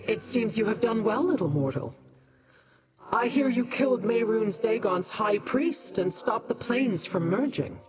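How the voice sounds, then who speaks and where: Video game immortal played by an actor: lofty, imperious, mid-pitched, very varied intonation. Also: animated, measured pace, loud music behind the voice, natural voice, rough recording. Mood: lofty interest. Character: lofty immortal being, condescending toward mortals, intrigued. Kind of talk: scripted video game dialogue.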